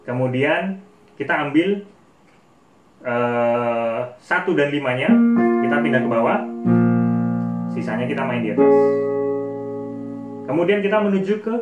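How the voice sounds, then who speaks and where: A man's voice: mid-range voice, expressive, measured pace, pauses often. A man giving a casual, informal piano tutorial as a teacher who demonstrates as he talks. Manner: informal, demonstrative, often counting out notes. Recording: roomy, distant mic, loud piano music.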